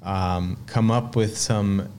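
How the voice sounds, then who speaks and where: Brief: late-30s Swedish-born man, low-pitched, unhurried storyteller, faint background hum. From Sweden. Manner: relaxed, reflective storytelling with frequent "uh" and repeated words. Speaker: restaurant owner, podcast guest. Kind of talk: casual interview conversation.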